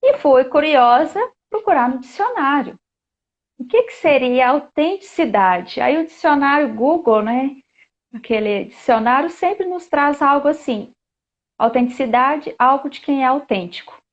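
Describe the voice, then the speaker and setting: A woman's voice: high voice, expressive, a little slow. A Brazilian woman hosting a live online chat, talking casually with plenty of fillers. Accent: Brazilian Portuguese. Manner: chatty, reads viewer comments aloud, many fillers. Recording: dull lo-fi live-stream audio.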